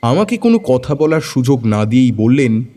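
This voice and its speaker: A man narrating an audio story in the first person, in a dramatic, expressive storytelling style. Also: medium pitch, normal speed.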